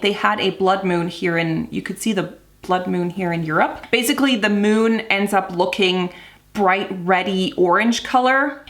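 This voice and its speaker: A woman's voice: medium pitch, a bit bright. A young adult woman chatting casually at home as she reviews a perfume, easygoing and full of fillers. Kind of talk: casual product review vlog. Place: home room with wood floor.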